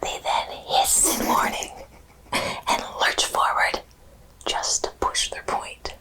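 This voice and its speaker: A woman host narrating educational animal facts in an ASMR style, whispering slowly and deliberately. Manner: whispers slowly and deliberately through most of the talk.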